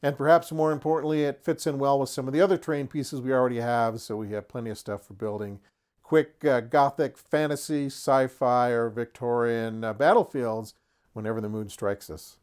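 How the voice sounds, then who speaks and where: A man's voice: medium pitch, steady tone, normal speed, a little mellow, clean tone. A man hosting a casual tabletop product review, chatty and folksy, with lightly humorous asides. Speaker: host of a tabletop wargaming terrain review. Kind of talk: casual product showcase commentary.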